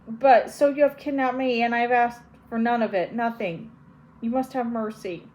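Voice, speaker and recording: A woman's voice: on the high side, steady tone, normal speed, a little mellow, voice pushed up, close to an everyday voice, low-quality recording. A woman playing a stammering, frightened girl. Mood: pleading. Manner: stammering, timid.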